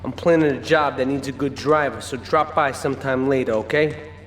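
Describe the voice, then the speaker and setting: An actor playing a cocky young mobster and mechanic, smug and casual. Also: male voice, medium pitch, fairly even intonation, fairly quick, roomy sound, long reverb tail, soft background music, close to an everyday voice.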